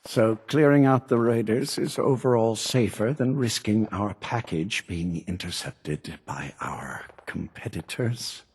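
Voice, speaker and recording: A guarded, businesslike male handler from a video game. His voice is low and heavily husky, with formal, careful phrasing. A long reverb hangs on every word. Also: measured pace, few pauses, a little mellow, exaggerated voice. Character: cagey businesslike male handler smuggling a secret package. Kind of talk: video game character dialogue.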